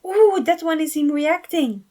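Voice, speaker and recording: Woman putting on a squealing, excitable inner voice. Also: high voice, a little slow, voice pushed up. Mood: gushing.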